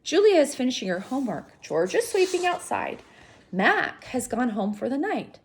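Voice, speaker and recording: Lady teacher reading a kids book out loud, lots of pitch swings, bit husky. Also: big pitch swings, normal speed. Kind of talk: expressive children's read-aloud.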